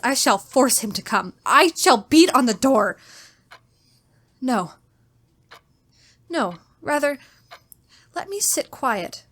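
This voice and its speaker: Actress doing a scared genteel young lady held captive, high voice, faltering, old radio suspense melodrama. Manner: faltering, repeats words, melodramatic. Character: terrified captive young woman, genteel, anxious, pleading. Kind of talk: old-time radio style suspense drama, diary monologue.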